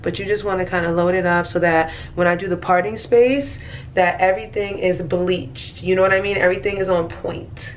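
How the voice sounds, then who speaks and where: A woman's voice: lowish voice, steady tone, brisk. Casual woman vlogger in her thirties, congested and nasal, talks loosely to viewers at home over some background hiss. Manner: chatty, rambling, addresses viewers as guys. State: stuffy, nasal from allergies. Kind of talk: casual vlog chat to viewers. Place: small apartment kitchen, indoors.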